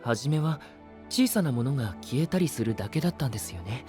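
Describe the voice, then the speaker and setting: A male actor playing a polite, observant young gentleman in an audio drama, speaking formally and considerately. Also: mid-range voice, animated, brisk, faint music behind, natural voice. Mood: polite concern. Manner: courteous, formal. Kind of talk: Japanese anime-style audio drama acting.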